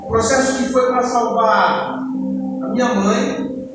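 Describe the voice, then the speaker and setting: A man's voice: extremely high pitch, steady tone, takes it slowly. A man in his late twenties with a Brazilian accent, giving a personal testimony sermon as a church deacon in a conversational, storytelling way. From Ceará, northeastern Brazil. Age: late 20s. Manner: conversational storytelling, addressing the congregation. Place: church hall. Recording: echoey hall, distant mic, music behind.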